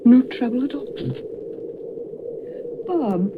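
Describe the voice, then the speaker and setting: A bereaved, gentle mother in an old radio play. She speaks high and very slowly, drawing out her sorrow over a tinny, noisy vintage broadcast. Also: expressive. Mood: grieving. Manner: mournful, drawn-out delivery. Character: grieving, gentle mother and wife. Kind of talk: 1930s radio drama acting.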